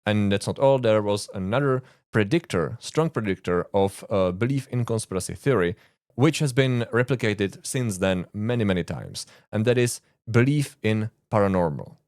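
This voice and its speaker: Czech-accented man, low dry voice. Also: expressive, normal speed, darkish tone, dry recording. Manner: earnest, informal, with frequent uh fillers and dry asides. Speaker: YouTube host, conspiracy researcher. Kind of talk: explainer monologue to camera.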